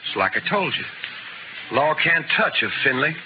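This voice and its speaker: Cocky young western gunman, animated menace. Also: fairly high pitch, a little slow. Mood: smug threat. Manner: taunting, drawling threats. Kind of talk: 1950s radio western acting. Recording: noisy muffled old radio recording.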